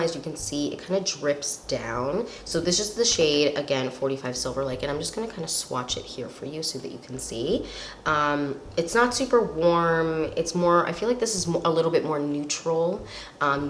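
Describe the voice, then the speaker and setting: A woman's voice: deep voice, animated, moderate pace. A young woman with a slightly nasal voice from a cold, chatting casually and rambling with lots of fillers while reviewing products. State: recovering from a cold. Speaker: beauty vlogger. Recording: echoey room with faint noise.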